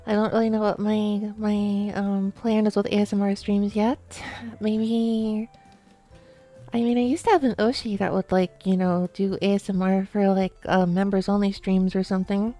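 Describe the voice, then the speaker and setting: A woman streaming a late-night chat, talking softly and quietly while sleepy, with many fillers and restarts. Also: medium pitch, flat delivery, moderate pace, soft background music. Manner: soft, quiet, drowsy talk with fillers.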